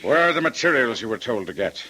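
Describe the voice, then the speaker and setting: An actor playing a menacing, impatient and desperate villain in old-time radio drama, with an imperious, urgent and demanding manner. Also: male voice, mid-range voice, very animated, quick pace, sharp tone. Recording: faintly noisy vintage radio recording.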